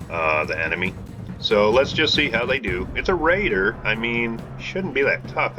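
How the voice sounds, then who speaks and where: A man casually commentating his gameplay as a channel host, thinking aloud with laid-back restarts and fillers. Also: fairly low pitch. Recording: game music playing under the voice. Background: game music.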